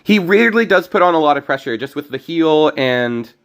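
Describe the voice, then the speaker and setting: A young man with a fairly high voice and a slightly hoarse throat chats his way through a card game on stream. He rambles, cuts himself off and restarts his sentences as he explains his plays. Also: lively intonation, normal speed, a bit bright. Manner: rambling, self-correcting. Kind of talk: casual gameplay commentary.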